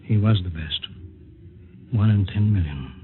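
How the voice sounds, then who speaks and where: An actor playing a steady male officer in a 1950s radio drama, talking in a calm, reassuring way. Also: low voice, unhurried, phone-quality sound. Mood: grim. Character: steady male officer running a rocket test. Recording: thin 1950s radio transcription.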